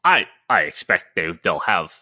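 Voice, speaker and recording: A man's voice: deep voice, sing-song, normal speed, sharp tone, no echo, clean studio sound. A man hosting a casual comics news podcast, talking emphatically and often repeating words for emphasis. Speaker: podcast host.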